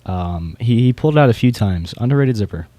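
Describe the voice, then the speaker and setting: Male podcast host, lower-pitched, informal and conversational, recorded dry. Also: expressive, fairly quick, warm tone, dry recording. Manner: informal, running through rankings and opinions. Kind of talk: casual discussion of beatbox competitors.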